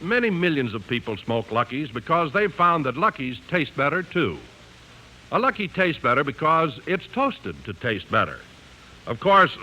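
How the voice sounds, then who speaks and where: A man's voice: fairly high pitch, bright, forceful tone, rough recording. A man announcing and selling in emphatic, polished 1950s radio style. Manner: emphatic, polished sales delivery. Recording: vintage 1950s radio broadcast.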